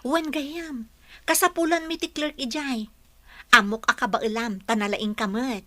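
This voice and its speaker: Actress as outspoken lady friend giving advice, ilocano accent, sharp highish voice, radio drama. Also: fairly even intonation, unhurried, no room sound. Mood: encouraging.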